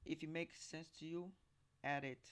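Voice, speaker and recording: A man with a fairly high-pitched voice gives informal commentary. He talks haltingly and circles back over his points, repeating them and posing skeptical questions as he goes. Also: steady tone, fairly bright tone, smooth. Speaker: host giving commentary.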